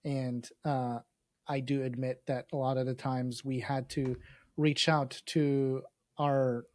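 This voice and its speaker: A man's voice: mid-range voice, level tone, slow pace, no room sound. A man from Lebanon whose first language is Arabic, hosting a casual podcast in a hesitant, wry way. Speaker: podcast host.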